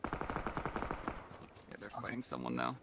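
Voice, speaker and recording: Gravelly, low male gamer in loud noise. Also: sing-song, slow pace. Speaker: squad teammate in an online game. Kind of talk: casual, brief gaming callouts. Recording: very noisy game chat, clicks and gunfire.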